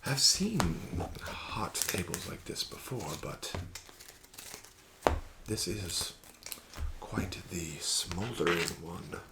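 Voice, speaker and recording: A low, gravelly male voice speaks slowly and formally, dropping into soft whispered asides. His pitch rises and falls with lively, teasing inflection. A steady hiss of background noise sits under the recording. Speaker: ASMR roleplay casino dealer. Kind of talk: ASMR roleplay.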